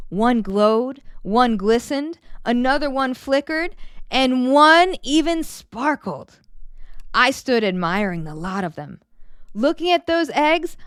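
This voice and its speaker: A woman reading a children's story aloud in an animated, dramatic way, drawing out words for emphasis. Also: a little high, takes it slowly, clean tone, no room sound, clean studio sound. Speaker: children's story reader and host.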